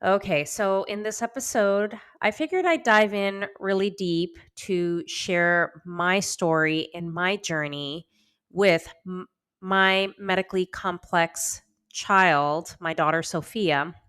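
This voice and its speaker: A woman's voice: lowish voice, takes it slowly, fairly bright tone, clean tone. A woman in her thirties hosting a podcast, candidly telling her own story to listening moms, a little congested and nasal from a cold. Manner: candid, reassuring, speaks straight to listening moms. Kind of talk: personal storytelling monologue.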